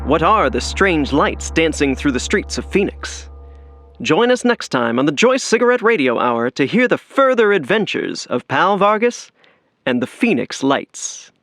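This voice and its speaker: A man's voice: fairly high pitch, sing-song, a little slow, fairly bright tone, no echo, soft background music, exaggerated voice. A man playing a theatrical character in a 1940s-style radio serial, with a showy, suspenseful delivery. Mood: dramatic suspense. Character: excitable old-time radio serial announcer and teasing barkeep. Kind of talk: 1940s radio announcer acting.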